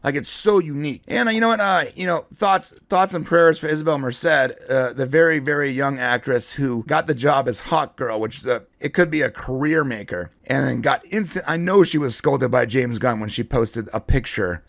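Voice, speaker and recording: A man's voice: mid-range voice, normal speed, vocal fry, hardly any pauses, a bit bright, no echo. Man talking comics in casual commentary. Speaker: show host and comic book fan commentator. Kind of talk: casual pop culture commentary.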